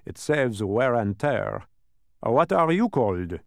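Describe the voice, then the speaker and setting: A man voicing a fussy, pedantic, excitable dodecahedron creature in a storybook, with an emphatic, scolding manner. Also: mid-range voice, slow, vocal fry, no echo, studio quality, heavily acted. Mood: brisk, boastful. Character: fussy, exacting many-faced dodecahedron, male, excitable.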